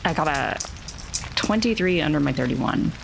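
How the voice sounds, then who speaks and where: A woman's voice: deep voice, expressive, moderate pace, vocal fry, music in the background. A woman playing a tabletop roleplaying game, chatting casually at the table. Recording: noisy, rain-like background hiss.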